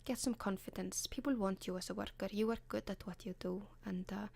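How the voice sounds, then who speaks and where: An Icelandic-accented woman whispers advice close and hushed, in the manner of an ASMR video. Her tone stays level with little rise or fall, and she hesitates often with soft ums. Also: mid-range voice, steady tone, fairly quick, creaky, breathy edge. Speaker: ASMR advice column host.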